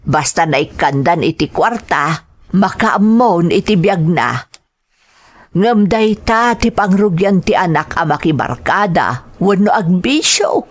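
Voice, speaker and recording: Middle-aged Ilocano mother, low voice, slow motherly delivery, caring, radio drama. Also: lively intonation. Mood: concerned, serious.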